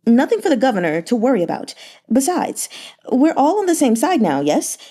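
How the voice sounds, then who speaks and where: A woman voicing a fawning, smooth-talking young male merchant with a lilting, wheedling way of speaking. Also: high-pitched, sing-song, a little fast, warm tone, voice pushed up. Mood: ingratiating. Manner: lilting, wheedling, full of little interjections.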